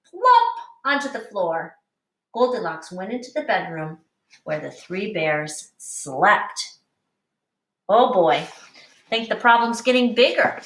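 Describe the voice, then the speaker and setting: A woman teacher reading a picture book aloud to young children with deliberate, explanatory expression. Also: mid-range voice, fairly bright tone. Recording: echoey room, distant mic.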